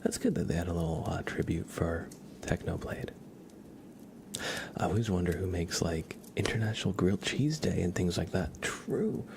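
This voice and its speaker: A man hosting an ASMR livestream, chatting casually and unhurriedly in a soft, gentle murmur that drifts into whispers. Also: sits low, lively intonation, moderate pace, noisy background. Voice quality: soft, breathy half-whisper. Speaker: livestream host reading chat.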